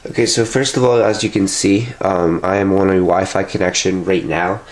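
A young man with a blocked, stuffy nose talks a little low as he explains phone settings step by step. His delivery is casual and meandering, full of little filler sounds, picked up by a camera close by indoors. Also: fairly even intonation, moderate pace. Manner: loose and chatty, many filler sounds. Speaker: tech tutorial host.

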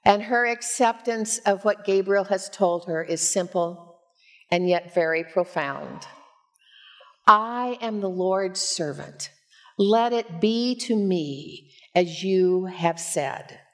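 Slow-paced older woman preaching. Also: mid-range voice. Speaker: pastor. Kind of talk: sermon with personal storytelling. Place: church sanctuary, at a pulpit. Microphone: headset.

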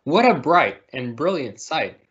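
A man's voice: a little high, very animated, a little slow, fairly bright tone. A man reading a children's picture book aloud over a video call. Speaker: storybook reader. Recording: muffled, lo-fi video call audio.

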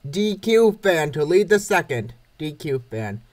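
A man narrates a baseball game as scripted play-by-play. His voice sits fairly high and swings up and down in a very animated way. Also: measured pace. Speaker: narrator of a comic dub series.

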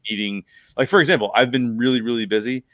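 A man's voice: medium pitch, fast talker, no echo. A middle-aged man hosting a conversational podcast, explaining film craft in an emphatic way, close on a microphone. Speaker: podcast host. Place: bedroom, video call.

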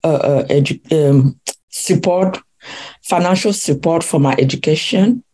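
A woman's voice: low voice, a little slow, warm tone, slightly rough. An older woman from Cameroon, a guest relationship coach, talking in an interview with many fillers and restarts. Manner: hesitant, many fillers and restarts, laughs often. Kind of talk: interview conversation.

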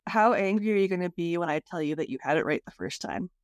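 A woman's voice: lowish voice, lively intonation, fast talker. A woman hosting a podcast in a casual, chatty style. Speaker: podcast host. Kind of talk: casual chat.

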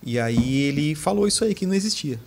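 A man's voice: on the high side, quick pace, vocal fry. A Brazilian man, the guest's husband, telling a story casually and acting out the quotes at a desk mic in a small studio. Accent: Brazilian Portuguese. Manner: lively, quotes others with animated voices.

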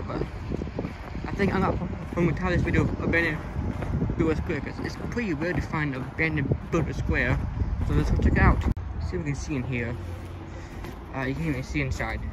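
Male exploration vlogger, slightly high voice, casual halting ramble, shot outdoors with heavy ambient noise. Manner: rambling, halting, repeats words. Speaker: vlogger exploring abandoned retail stores. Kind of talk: casual walk-around vlog commentary. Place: outdoors in snowy empty retail lot.

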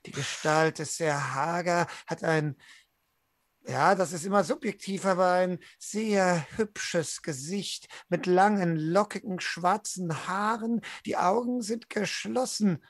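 A man narrating a tabletop roleplaying game as storyteller, in a deliberate, descriptive way. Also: high-pitched, dry recording, clean studio sound. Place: indoor room at home, video call.

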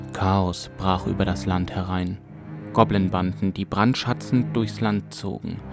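A man narrating a fantasy audiobook in a calm, measured storytelling manner. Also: lowish voice. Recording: music bed under narration.

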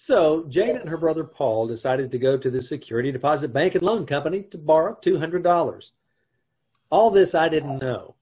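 A man playing a wry married narrator in an old-time radio comedy, telling of his wife's antics in a dry, deadpan way over a video call. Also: on the high side, moderate pace, natural voice. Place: home room, on a video call.

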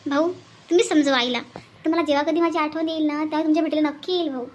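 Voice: sits high, fairly even intonation, rapid-fire, dull audio, natural voice. A performer voicing an earnest, tender city woman in a cartoon melodrama, speaking politely, pleadingly and sentimentally. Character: earnest, tender orphanage woman from Mumbai, bidding farewell.

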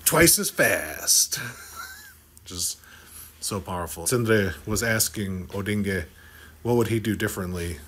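A middle-aged man hosts a podcast from an office meeting room, speaking into a desk microphone. His voice sits a little low. He talks in an upbeat way and jokes with his guest. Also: expressive, takes it slowly, long pauses, a bit bright, slight background noise. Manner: upbeat, joking, teasing. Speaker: podcast host. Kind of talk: lively on-site podcast chat. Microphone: desk podcast mic.

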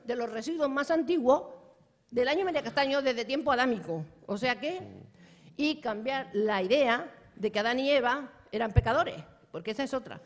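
A woman's voice: sits high, moderate pace. An older woman speaking as a conference panelist, blunt and emphatic, at a table mic in a large conference hall. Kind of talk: panel talk and Q&A answers.

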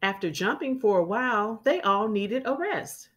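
A woman reading a children's storybook aloud as a teacher would, expressively and with clearly enunciated words for young listeners. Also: on the high side, lively intonation, moderate pace, a bit bright.

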